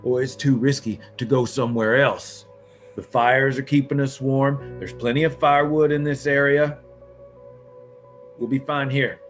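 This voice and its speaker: A man's voice: medium pitch, fairly even intonation, a little slow, lightly acted. A man acting a stubborn, defensive survivor in a horror comic table read, insistent and argumentative. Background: music under the voice.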